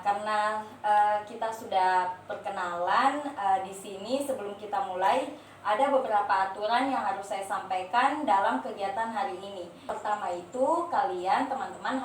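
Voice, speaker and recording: A young woman guides a group therapy role-play, explaining rules step by step. She often pauses and hesitates between phrases. The sound is distant and reverberant, with faint noise behind. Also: medium pitch. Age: young adult. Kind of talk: guiding a role-play session.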